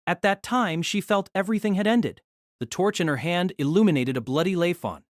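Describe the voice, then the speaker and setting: A woman's voice: fairly low pitch, a little fast, no room sound, studio quality. A woman narrating a scripted novel as a dramatic storyteller.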